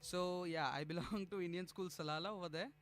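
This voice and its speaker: A man's voice: sits high, steady tone, brisk, a bit bright, faint music behind. A young man from Bangladesh, a student band's synth player, answering in a friendly way with light laughs and fillers. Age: young adult. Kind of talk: radio interview guest.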